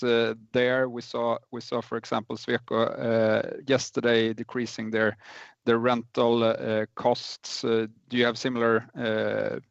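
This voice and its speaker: A man asking questions as a financial analyst on a conference call, speaking haltingly over a dull line. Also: medium pitch, creaky, no room sound.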